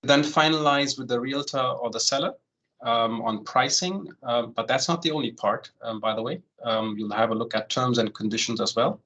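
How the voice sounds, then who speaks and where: A man in his forties who grew up in Germany, a home loan consultant giving an online presentation in a relaxed way, full of "um" fillers and the tag "right?". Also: medium pitch, moderate pace, rough recording. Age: 40s. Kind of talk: online presentation, walking through steps.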